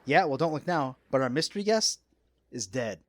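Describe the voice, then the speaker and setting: An actor playing an excitable, wisecracking man on a yacht in a radio drama, wry and colloquial. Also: medium pitch, moderate pace, dry recording, close to an everyday voice. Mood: uneasy, wry. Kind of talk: audio drama acting.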